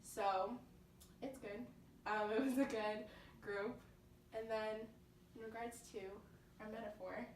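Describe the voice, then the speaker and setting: A woman's voice: fairly high pitch, fairly even intonation, slow, pauses often. A young woman from South Florida giving an informal class presentation as a student, speaking conversationally. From South Florida, United States. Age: young adult. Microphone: distant microphone. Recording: distant, echoey room, faint noise.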